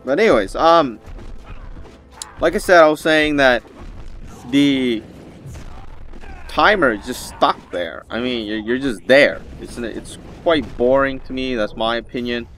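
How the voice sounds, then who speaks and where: A man's voice: mid-range voice, a little slow, long pauses, a bit bright, faint music behind. A man giving casual, rambling gameplay commentary as the host of a gaming video.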